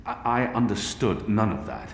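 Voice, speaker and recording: A grim, measured fugitive, with a very deep voice and an even, curious delivery. Game ambience and distant rumbles sit clearly behind him. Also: male voice, slight background noise. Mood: dry. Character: calm grim male ex-apostle fugitive.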